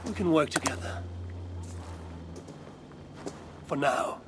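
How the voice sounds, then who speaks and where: A woman's voice: sits low, fairly quick, noisy background, lightly acted. An actress playing a blunt, suspicious and proud Viking warrior woman in a video game. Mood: wary. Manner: blunt, curt, challenging. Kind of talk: video game cutscene acting. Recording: game audio with faint music. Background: game soundtrack music.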